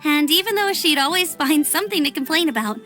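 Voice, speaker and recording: An easygoing, friendly young outrider girl from a video game. Her voice is fairly high, casual and sincere, with music playing behind it. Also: expressive, fairly quick, sharp tone. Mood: nostalgic. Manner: casual, chatty. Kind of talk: video game cutscene acting.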